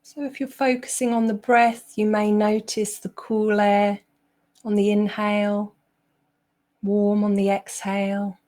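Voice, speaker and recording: A woman leads a guided meditation at a slow pace. Her voice sits at a middle pitch. She speaks gently, with long pauses between her instructions. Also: flat delivery. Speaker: meditation teacher.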